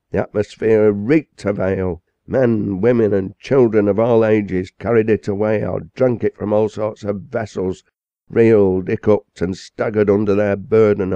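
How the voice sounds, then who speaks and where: A man voicing an excitable, indignant parson who denounces with vehemence. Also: on the low side, clear, resonant tone, dry recording, lo-fi sound, pitched above the performer's own voice. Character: excitable indignant male parson, blunt and fiery.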